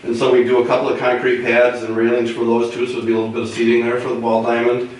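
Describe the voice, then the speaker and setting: An American man with a voice roughened by a cold, informally presenting to a meeting. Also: medium pitch, completely flat delivery, very fast. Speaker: grant presenter at council meeting. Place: indoor meeting room, far mic. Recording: echoey room, distant mic, faint noise.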